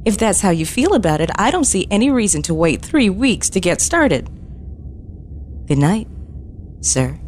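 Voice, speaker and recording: A woman's voice: medium pitch, very animated, normal speed, long pauses, darkish tone, slight background noise, close to an everyday voice. A woman acting as a bold, flirtatious junior officer in a sci-fi audio drama. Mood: teasing.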